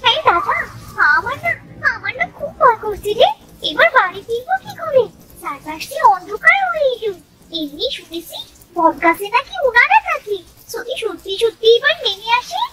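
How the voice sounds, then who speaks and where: A woman voicing a timid, chattering little village girl with a fretful, excitable manner in a children's cartoon. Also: very high voice, normal speed, slight background noise, heavily acted, rough recording. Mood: frightened.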